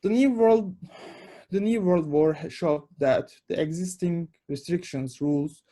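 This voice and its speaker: A man's voice: on the high side, unhurried, warm tone. A young man, a research assistant giving a webinar lecture on international law, explaining steadily with some fillers.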